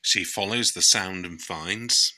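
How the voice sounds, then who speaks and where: Slow, bright-voiced man reading a story. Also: deep voice, no room sound, poor audio. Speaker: read-aloud storyteller for children. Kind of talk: children's bedtime story narration.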